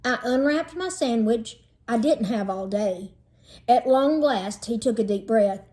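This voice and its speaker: Lady doing an expressive storybook read aloud, voice a bit on the high side. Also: unhurried. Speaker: read-aloud narrator of a children's book.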